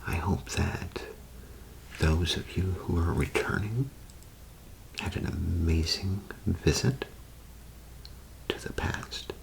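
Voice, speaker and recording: A man whispering in a breathy, creaky voice, playing a polite, unhurried clerk in an ASMR role play. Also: deep voice, rough recording. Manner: unhurried, polite customer-service patter.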